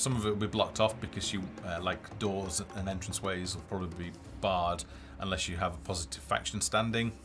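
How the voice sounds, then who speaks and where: A man giving casual, low-key live commentary as a game developer, explaining features as he plays. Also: fairly bright tone. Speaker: game developer presenting a livestream. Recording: music behind the voice.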